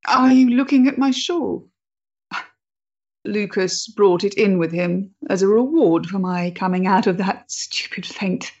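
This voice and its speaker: An actress playing a proud, emotionally fragile 1890s woman in love, with a measured, theatrical way of talking in a period stage drama. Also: mid-range voice, darkish tone, muffled recording. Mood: tender, unsteady.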